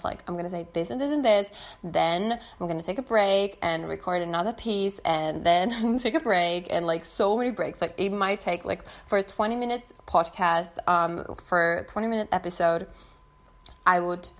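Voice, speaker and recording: A young woman who is not a native English speaker, hosting her own podcast in a casual, chatty way, full of fillers and quick laughs. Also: on the low side. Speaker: podcast host. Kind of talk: casual solo podcast talk.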